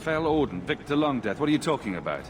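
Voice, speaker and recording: A man's voice: mid-range voice, very animated, fast, noisy background, close to an everyday voice. A man playing a bold adventurer leader in a video game, direct and questioning.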